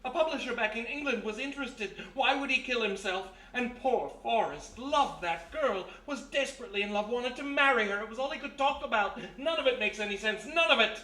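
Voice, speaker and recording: Echoey and set back from the mic, an actor plays a desperate accused man in a radio melodrama. His voice is very high and sharp, frantic with protest. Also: expressive, brisk, few pauses, full character voice. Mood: frantic pleading. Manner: frantic, pleading, overwrought. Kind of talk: live old-time radio melodrama acting. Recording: echoey live stage, distant mic.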